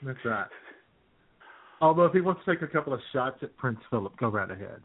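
A man's voice: medium pitch, very animated, fairly quick, husky. A man co-hosting an internet radio show with casual, irreverent, wisecracking comedic banter. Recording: thin phone-like internet radio sound.

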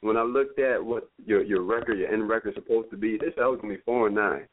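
A man's voice: fairly low pitch, quick pace, slight background noise. Boastful mid-voiced man on a phone line. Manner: boastful, playful. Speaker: show regular. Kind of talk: casual sports banter.

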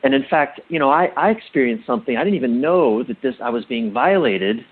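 Interview guest: middle-aged male, husky, reflective and halting, emphatic on key points, on band-limited audio. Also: mid-range voice, animated, narrow, tinny audio. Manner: halting, self-correcting, emphatic. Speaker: interview guest, relationship coach.

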